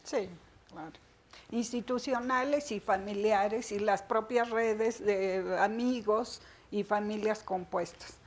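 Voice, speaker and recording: A woman's voice: medium pitch, takes it slowly. A woman speaking as an expert guest, explaining in a matter-of-fact way.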